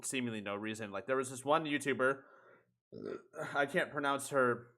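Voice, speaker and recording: Male livestream host, sharp bright tone, middle pitch, loose rambling sweary chat. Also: normal speed. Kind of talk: casual chat.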